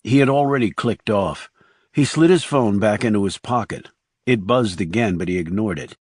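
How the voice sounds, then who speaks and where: A man's voice: on the low side, moderate pace, a little mellow, slightly rough, no room sound, studio quality. A man reading scripted thriller narration as an audiobook narrator.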